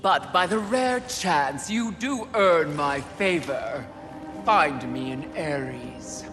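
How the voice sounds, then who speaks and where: An actor playing a haughty, contemptuous embodiment of sin in a video game, pompous, sneering and theatrical. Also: male voice, on the high side, expressive, takes it slowly, in a large hall, music in the background. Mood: disdainful. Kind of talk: dramatic video game acting.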